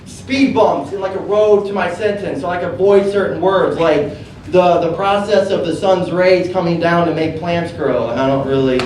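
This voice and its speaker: A man in his thirties with a lisp, doing a stand-up comedy set on a handheld mic, with a halting, deadpan delivery. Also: high voice. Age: 30s. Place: comedy club with audience laughter. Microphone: handheld stage mic, amplified. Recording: echoey club recording from far off with room noise.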